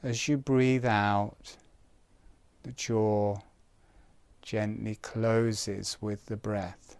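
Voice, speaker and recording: A man giving guided breathing and relaxation instruction in a calm, gentle manner, in short spaced phrases. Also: mid-range voice, smooth, dry recording.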